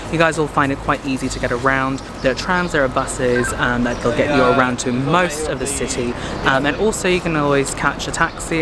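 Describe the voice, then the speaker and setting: A man from Hong Kong with a Hong Kong English accent, vlogging casually outdoors and chatting to viewers. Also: mid-range voice. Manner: chatty, addresses viewers as "you guys", many "um"s. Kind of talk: casual vlog to camera. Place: outdoors in a city street. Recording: loud outdoor street noise. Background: loud traffic and street noise.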